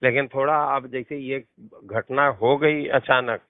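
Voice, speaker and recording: Man, mid-pitched, defensive and placating, private call over a thin, narrow phone line. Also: steady tone, slow pace, no room sound. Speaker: caller, politician. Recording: tinny, band-limited recorded phone call.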